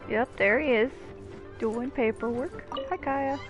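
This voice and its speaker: A woman's voice: fairly high pitch, measured pace, highs cut off, over music. A woman giving casual gameplay commentary with dry, wry asides.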